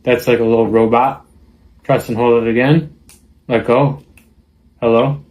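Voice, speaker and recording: A woman's voice: extremely low pitch, steady tone, a little slow, a little mellow. A woman giving a casual, plain-spoken product demonstration in an informal way. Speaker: product reviewer.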